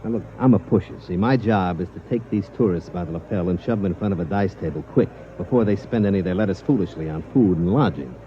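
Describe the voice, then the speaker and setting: A man's voice: low voice, fast, hardly any pauses, lightly acted. An actor playing a streetwise but good-hearted casino tout, with slangy, confiding wise-guy talk in a 1950s radio drama. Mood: confiding, incredulous. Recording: dull, noisy vintage radio recording.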